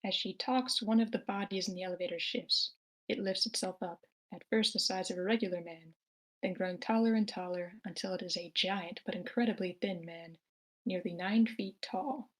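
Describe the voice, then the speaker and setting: A woman narrates a screenplay in a live online table read. Her voice sits at a medium pitch as she reads out scripted action. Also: moderate pace. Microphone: remote online meeting.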